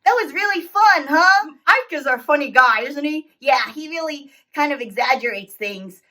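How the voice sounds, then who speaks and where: A woman's voice: high-pitched, animated, moderate pace, bright, forceful tone. A woman voicing a friendly, chatty puppet in a children's storytime show, with an eager childlike way of talking. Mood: cheerful.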